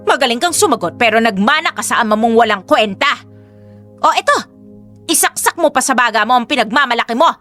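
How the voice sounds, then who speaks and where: A woman's voice: a little high, expressive, brisk, pushed and bright. An actress playing a domineering, judgmental middle-aged mother in a Tagalog radio drama, scolding and melodramatic. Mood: furious. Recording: faint background music.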